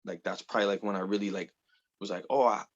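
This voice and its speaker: A man's voice: fairly low pitch, steady tone, fast talker, highs cut off. A man in his thirties from the Bronx, chatting loosely as an interview guest, full of fillers and casual swearing. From the Bronx, New York. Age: 30s. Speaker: guest artist.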